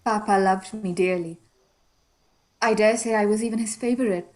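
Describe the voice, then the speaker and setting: A woman's voice: mid-range voice, fairly even intonation, normal speed, natural voice. A woman playing a wistful, reserved young Indian woman far from home in a period radio mystery, polite and guarded. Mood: wistful, open.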